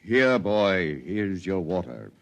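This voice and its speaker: An actor playing an old, solemn male Martian elder who speaks slowly in a preaching, scriptural way, in 1950s radio drama style. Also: deep voice, sing-song, creaky, full character voice. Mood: tender.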